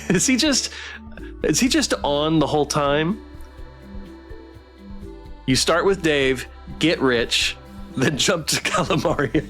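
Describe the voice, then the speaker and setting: A man's voice: fairly high pitch, fairly bright tone. A man doing a theatrical read-aloud playthrough of a visual novel with commentary. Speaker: streamer playing and voicing a visual novel.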